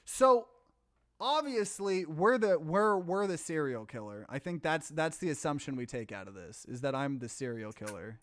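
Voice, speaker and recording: Male let's player, fairly high voice, casual and bemused, close dry sound. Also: sing-song, normal speed, no room sound. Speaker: game streamer. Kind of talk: let's play commentary, reading game text aloud.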